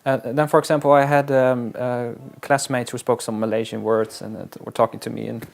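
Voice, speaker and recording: Man, gravelly creaky voice, middle pitch, halting, reflective interview talk. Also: flat delivery. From Netherlands. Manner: halting and reflective, with frequent restarts. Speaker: interviewee, wilderness guide.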